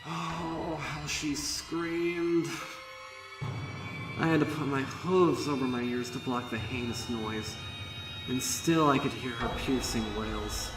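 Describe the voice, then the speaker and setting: A man voicing a young female pony student, haunted and guilt-ridden, recounting horror in a dramatic, deliberate way. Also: mid-range voice, close to an everyday voice. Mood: horrified. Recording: echoey, distant, music behind.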